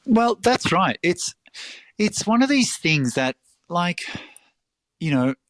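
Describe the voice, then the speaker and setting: A man's voice: high voice, sing-song, takes it slowly, fairly bright tone, no room sound. A middle-aged Australian man, a restaurateur answering podcast interview questions, talking passionately and bluntly, swearing freely and restarting often. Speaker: restaurateur guest.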